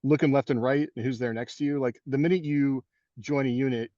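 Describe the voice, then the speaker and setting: A man's voice: mid-range voice, steady tone, fairly quick, no room sound. A man with a mid-range voice introduces the topic loosely, with lots of "like" and "you know". His mic sounds dull and lo-fi, and the sound is dry. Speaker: podcast host. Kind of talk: casual chat.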